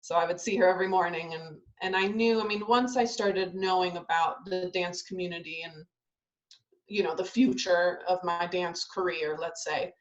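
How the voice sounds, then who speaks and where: A woman's voice: fairly low pitch, fairly even intonation, moderate pace, lo-fi sound. A young adult woman, a ballet dancer born in Italy and raised in Southern California, chatting casually in an interview with lots of ums and restarts. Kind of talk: casual interview over video call.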